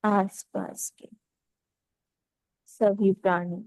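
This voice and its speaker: A woman leading a guided meditation, giving calm, unhurried instructions in short phrases with pauses. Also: mid-range voice, vocal fry, no echo, rough recording.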